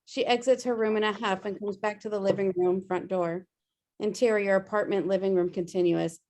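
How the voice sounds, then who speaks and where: A woman's voice: medium pitch, steady tone, fairly quick, darkish tone. A woman narrating a screenplay's stage directions at a table read.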